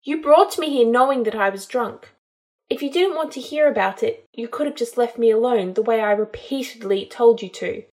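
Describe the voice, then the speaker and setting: A bitter young witch played by a woman audiobook reader, drunk and tearful. She lashes out defiantly and emotionally in a raised, high voice. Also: moderate pace, natural voice. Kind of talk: dramatised audiobook narration.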